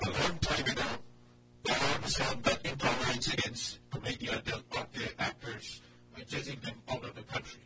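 A man reading out a written message in a declamatory, measured way. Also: mid-range voice, very noisy. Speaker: guest reader. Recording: loud music behind, distant mic.

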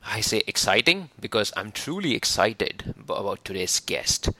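A man's voice: fairly low pitch, big pitch swings, moderate pace, bright, forceful tone, dry recording, poor audio. A man hosting an interview podcast in an upbeat way, often laughing. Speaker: podcast host. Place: lives in London.

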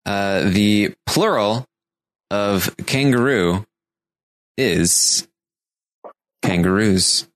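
A man's voice: lowish voice, animated, very slow, pauses often, low-quality recording. A man co-hosting a casual podcast, talking things through with dry, teasing humor. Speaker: podcast co-host.

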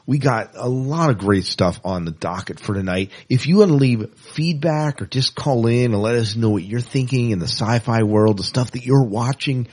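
A man's voice: on the low side, a little mellow. A man co-hosting a podcast in casual chat, with a playful, teasing way of talking. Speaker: podcast co-host.

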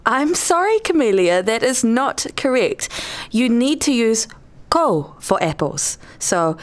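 A woman's voice: a little high, very animated, slow. A woman playing a stern, exasperated wife and mother in an audio drama. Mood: instructive. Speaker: actress in a radio play.

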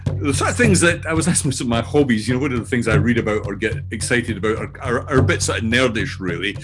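An older Scottish man introducing himself as a guest in a loose, halting way, often laughing, over a remote call. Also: medium pitch, animated, music in the background. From Scotland. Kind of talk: casual self-introduction.

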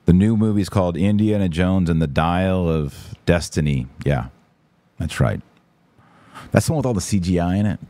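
A man's voice: sits low, normal speed, warm tone. A man hosting a podcast, giving casual, opinionated religious commentary, speaking to his audience in an informal, emphatic, direct way. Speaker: podcast host; online course teacher.

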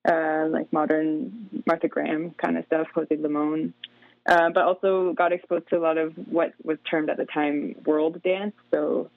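A woman from Canada, a dancer and doctoral student, answering interview questions chattily and filling pauses with "you know" and "like.". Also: sits low, flat delivery, dry recording. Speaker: guest, dancer and PhD student. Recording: tinny phone-like line, dry.

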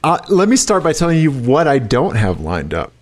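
A man co-hosting a podcast in casual chat, joking and self-deprecating and quick to laugh, with allergies. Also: on the high side, huge pitch swings. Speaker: podcast co-host.

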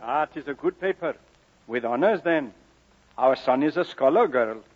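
An actor playing a hearty, affectionate, proud Welsh father with a husky voice in an old radio drama. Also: medium pitch, animated, normal speed, lightly acted. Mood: proud, fond. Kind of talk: 1940s-50s radio drama acting. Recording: dull old radio, reverberant.

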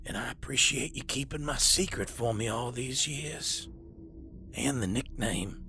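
Slow, gravelly old killer. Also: male voice, medium pitch, bright, forceful tone, faint music behind. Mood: smug. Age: older. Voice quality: raspy, breathy, smoke-worn. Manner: slow, deliberate, menacing delivery. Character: withered old male serial killer, sinister, coolly menacing. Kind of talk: audio drama acting.

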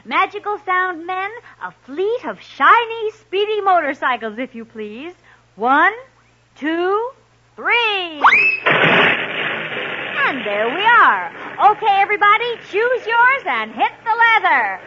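A woman's voice: very high-pitched, sing-song, slow, pushed and bright. A female performer announcing eagerly and theatrically on a 1950s children's radio show. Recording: dull, noisy old radio, crowd behind.

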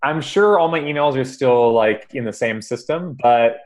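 A man's voice: mid-range voice, lively intonation, normal speed, a little mellow. A man from Hawaii, a podcast guest chatting casually and hesitantly, with lots of ums and repeated words. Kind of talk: casual interview chat.

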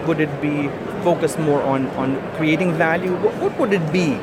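A man hosting an interview, building long questions haltingly and repeating words as he goes. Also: a little high. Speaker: podcast host and interviewer. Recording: very noisy location, urban background noise.

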